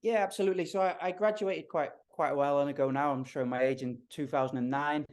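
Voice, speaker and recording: A man in his thirties from Liverpool with a Liverpool accent, a strength coach telling his story as a podcast guest in a friendly, relaxed way. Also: a little high, fast talker. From Liverpool, England. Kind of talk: interview guest.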